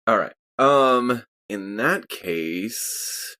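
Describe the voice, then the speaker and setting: A man talks casually as a player in a tabletop roleplaying game. His voice sits fairly low and moves up and down a lot in pitch. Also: expressive, drawn-out words, sharp tone.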